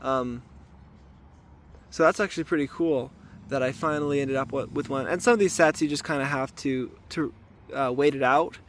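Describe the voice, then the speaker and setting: A man with a raspy voice today, talking casually and thoughtfully to a camera while checking traps outdoors, with filler ums and restarts. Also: medium pitch, moderate pace, slight background noise. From southern Arizona. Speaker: trapper filming his trapline. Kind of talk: casual outdoor vlog narration. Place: outdoors in desert washes.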